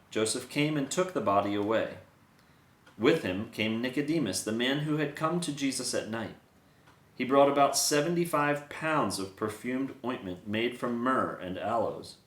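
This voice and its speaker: Male storyteller for dramatic scripture narration, mid-pitched voice, recorded in an echoey room. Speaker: storyteller, Bible reader.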